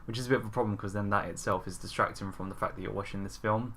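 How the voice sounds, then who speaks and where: A man's voice: lowish voice, fairly even intonation, very fast, a bit bright, a little hiss or hum. A man talking informally and conversationally as a panelist on a casual podcast. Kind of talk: casual chat.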